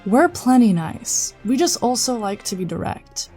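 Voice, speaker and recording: A woman's voice: fairly high pitch, very animated, a little slow, warm tone, breathy edge, heavily acted. A woman playing a confident psionic agent who is direct and dry-humored, with faint music underneath. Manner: direct, dry, self-assured. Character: blunt, confident female psionic scion. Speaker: character in audio drama.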